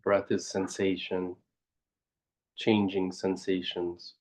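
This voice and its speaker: A man leading a guided meditation as a teacher, speaking reflectively in short, unhurried phrases and posing gentle questions. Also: low-pitched, completely flat delivery.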